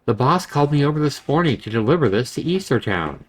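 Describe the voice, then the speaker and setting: Man putting on a cocky, excitable young guy. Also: mid-range voice, fast talker, pitched above the performer's own voice. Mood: gleeful. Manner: slangy, bragging bro talk. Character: cocky young male best friend, excitable, boastful errand boy.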